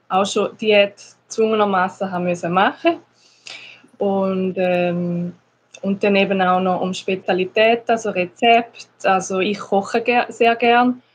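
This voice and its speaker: A woman's voice: medium pitch, a little hiss or hum, low-quality recording. Swiss German woman from Schaffhausen. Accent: Schaffhausen Swiss German with some Zurich words. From Schaffhausen, Switzerland. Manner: agreeable, often affirming, hesitant fillers. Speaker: conversation class teacher. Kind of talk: casual chat.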